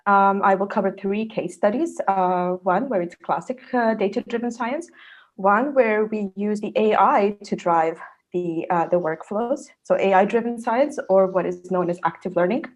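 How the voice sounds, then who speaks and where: A woman's voice: medium pitch, normal speed. A woman from Serbia, a research fellow in her thirties, giving an online scientific presentation with frequent fillers.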